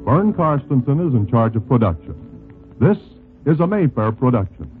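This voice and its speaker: A man's voice: mid-range voice, very animated, normal speed. A man announcing a scripted program sign-off in 1940s radio style. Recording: tinny 1940s radio recording, music behind.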